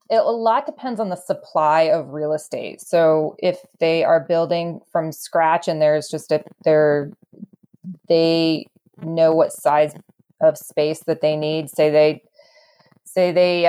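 A woman guest explaining real estate matters in a conversational interview, thoughtful and halting as she works through her points. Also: sits low, fairly even intonation, darkish tone, smooth.

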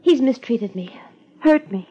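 An actress playing a frightened, evasive young heiress in a 1950s radio drama, hesitant and pleading. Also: female voice, a little high, animated, a little slow, mellow. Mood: anguished. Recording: dull 1950s radio recording.

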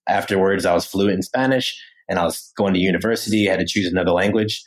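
A man from the United States, a podcast guest, telling his story in a casual, animated way with many "right?" and "you know" asides. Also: sits low, quick pace.

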